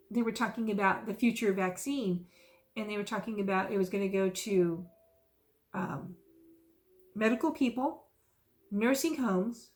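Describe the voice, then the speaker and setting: A woman in her fifties chats casually to her viewers. She rambles warmly from one thought to the next with folksy, self-amused asides. Her voice sits at an ordinary middle pitch. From South Texas. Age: 50s. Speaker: stitching vlogger. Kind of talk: casual chatty vlog.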